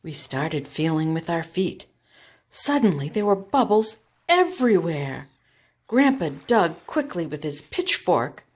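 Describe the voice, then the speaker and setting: A woman with a low-pitched voice reads a picture book to young children. She takes her time, at a very unhurried pace. Her phrasing is deliberate and expressive, in the manner of a storytime teacher.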